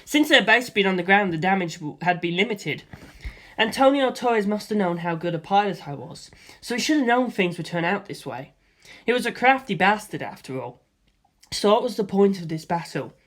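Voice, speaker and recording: A woman narrating a first-person story in a steady, matter-of-fact way. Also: medium pitch, normal speed.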